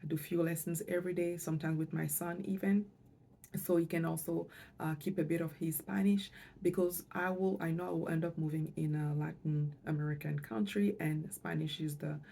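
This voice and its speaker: Casting: middle-aged female vlogger, mother tongue, deep warm voice, hesitant and reflective delivery. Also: vocal fry, a little hiss or hum. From Canada, moved there with parents, lived in Montreal. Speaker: financial coach and vlogger. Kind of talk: personal vlog talk to camera.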